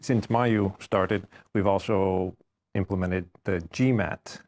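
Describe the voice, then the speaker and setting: A man, a postdoctoral researcher, answering questions in a relaxed, matter-of-fact way. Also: sits low, slow. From United States. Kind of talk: answering questions in an info session.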